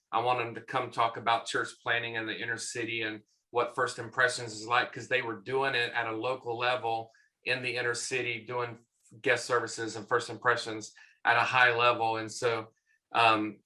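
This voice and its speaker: A man's voice: medium pitch, pushed and bright. A middle-aged man with a Southern US accent, a church consultant talking as a podcast guest in a friendly, rambling way. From American South. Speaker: church consultant and conference leader.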